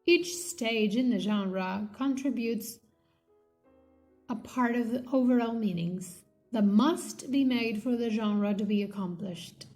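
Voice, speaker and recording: A woman reading an academic textbook aloud in a measured, slightly halting way. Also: fairly high pitch, warm tone. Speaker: reader of a linguistics textbook. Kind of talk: read-aloud textbook narration.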